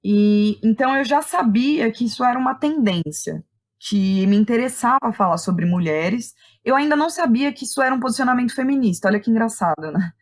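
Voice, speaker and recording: A woman's voice: a little high, animated, a little fast. A woman with a Brazilian accent speaks as an interview guest, a playwright and director thinking aloud and often checking in with short tag questions. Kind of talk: reflective conversational interview.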